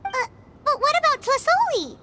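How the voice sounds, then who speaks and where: A woman voicing a tiny, excitable, childlike female fairy companion with a squeaky voice in a video game. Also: normal speed, heavy background noise. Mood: chatty, impressed. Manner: exclamatory, refers to herself in third person. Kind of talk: video game character dialogue.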